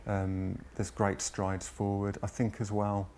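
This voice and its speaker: A man in his forties talks reflectively about his own life in an interview. His voice is fairly low and stays very even, with an earnest delivery. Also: slow, slight background noise. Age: 40s. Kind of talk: personal recollection in an interview.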